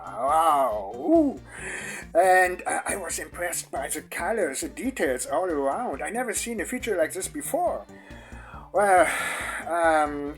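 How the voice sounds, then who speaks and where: Effusive man. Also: a little high. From Germany. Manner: enthusiastic, effusive, halting non-native English. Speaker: toy collector. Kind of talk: casual video testimonial.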